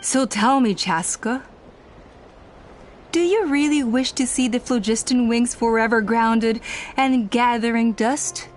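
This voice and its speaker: An actress playing a proud, self-righteous clan elder woman in a video game, speaking in measured, formal, persuasive speeches. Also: on the high side, slight background noise. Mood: calm, persuasive. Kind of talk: scripted video game voice acting. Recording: music under the voice.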